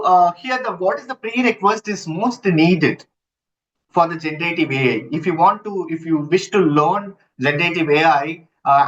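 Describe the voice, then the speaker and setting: Man, online instructor, slightly high voice, dull lo-fi computer mic audio. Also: a bit bright. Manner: halting, repeats himself, checks in with listeners. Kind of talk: live online lecture. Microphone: built-in computer mic, no headset.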